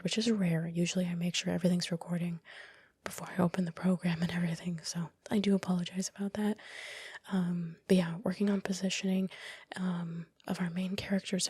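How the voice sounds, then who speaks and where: A woman whispers a casual, rambling, self-critical ASMR commentary on her own work in a breathy, unvoiced voice, close to the microphone. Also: on the low side, a little mellow, no echo. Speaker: ASMR video creator narrating own work. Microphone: close microphone, dry voice-over.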